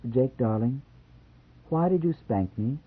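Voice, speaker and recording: Brief: male narrator puts on a tearful, stubborn young wife, high and pleading, lo-fi phone-like audio. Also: animated, unhurried, breathy edge. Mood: timid. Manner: pleading, shrill when upset. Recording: tinny phone-like lo-fi sound.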